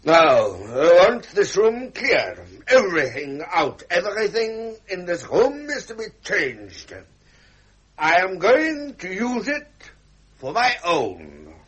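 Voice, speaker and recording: An actor playing a gruff, impatient ageing king with an upper-class English accent and blustering fragments, in 1970s radio drama style. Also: sits high, huge pitch swings, slow, a bit bright, heavily acted. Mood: commanding. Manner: blustering, imperious, muttering in clipped fragments. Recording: noisy vintage radio recording.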